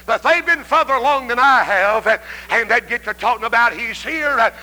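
Male revival preacher, Southern accent, very high forceful voice, fervent and emphatic delivery with rhythmic "uh" breaks. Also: fairly quick, poor audio. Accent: Southern American. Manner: emphatic, swinging between shouted cries and hushed pleading; punctuates phrases with a rhythmic "uh". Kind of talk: fervent old-time revival sermon.

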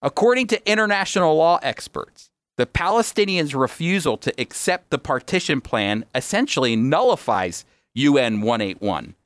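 A man's voice: a little high, very animated, normal speed, few pauses, a bit bright, no echo. A man hosting a radio program, explaining his points emphatically in a teaching style and often answering his own questions. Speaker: radio host.

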